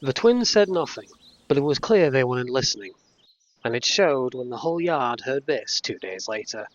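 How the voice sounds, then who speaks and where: A man narrating a scripted storybook tale in measured phrases with dramatic emphasis. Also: medium pitch, expressive, no echo, lo-fi sound.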